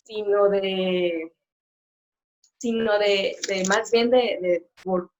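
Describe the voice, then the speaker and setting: Woman on a video call, middle aged, lots of ums and restarts, middle pitch. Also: steady tone, drawn-out words, darkish tone, poor audio. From Mexico. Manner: hesitant, many fillers and restarts. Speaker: sign language interpreter, guest. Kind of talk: live-stream interview, casual chat.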